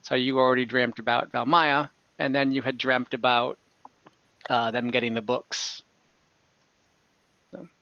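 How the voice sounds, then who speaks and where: A man running an online tabletop game as dungeon master, explaining casually and a little haltingly. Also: medium pitch, flat delivery, creaky, no echo, dull audio.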